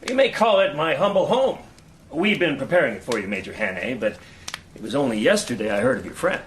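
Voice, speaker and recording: Male, American. Actor as genial languid older spy, folksy drawl with wide swings in pitch, radio drama, noisy background. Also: expressive, fairly quick, close to an everyday voice. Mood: dry, welcoming.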